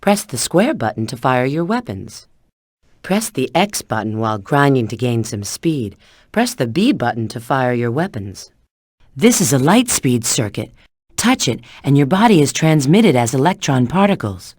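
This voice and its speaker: An animated, self-assured game agent. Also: female voice, rumbling low, no room sound, clean studio sound, exaggerated voice. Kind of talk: video game tutorial and mission dialogue.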